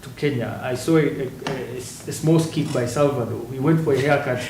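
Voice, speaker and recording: A man leads a studio panel discussion in a relaxed, conversational way, often doubling his words as he starts a thought. He sits a little back from the mic in a roomy studio with faint hiss behind him. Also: mid-range voice, normal speed, recorded from a distance. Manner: conversational, repeats words when starting a point. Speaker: TV talk show host.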